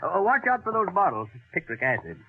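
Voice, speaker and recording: Genial old inventor, raspy, slightly high-pitched, chatty and avuncular, vintage radio play. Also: male voice, very animated, a little fast, heavily acted. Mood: jovial, teasing. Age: older. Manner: avuncular, chatty, rambling, chuckling. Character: genial, excitable elderly male inventor and landlord. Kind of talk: 1940s radio drama acting. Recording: muffled, tinny vintage radio recording.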